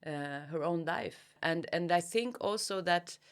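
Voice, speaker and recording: A woman's voice: lowish voice, a little slow, clean tone. A woman being interviewed as an author, speaking reflectively and hesitantly as she searches for words. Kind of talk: thoughtful literary interview.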